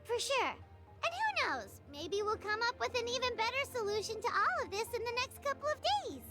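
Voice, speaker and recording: Perky childlike game sidekick fairy, very high and lively, loud music underneath. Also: female voice, brisk. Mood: curious, upbeat. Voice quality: squeaky, childlike. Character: small childlike floating fairy companion, perky and chatty. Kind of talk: English video game dub acting. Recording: music behind the dialogue.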